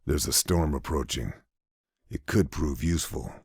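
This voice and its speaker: A husky, deep-voiced wartime sniper. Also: male voice, animated, a little mellow, dry recording, exaggerated voice. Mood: calm, planning. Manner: low, measured, clipped mission planning. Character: steely lone male wartime sniper, calm and methodical. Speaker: sniper protagonist. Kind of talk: video game cutscene narration.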